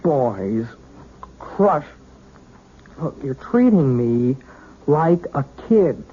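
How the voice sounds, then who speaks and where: A man's voice: fairly high pitch, very animated, mellow, slight background noise, heavily acted. Earnest young man, tinny old radio. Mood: pleading, hurt. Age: young adult. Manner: halting, intense, earnest. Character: earnest, lovestruck twenty-year-old male piano student. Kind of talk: 1970s radio drama acting.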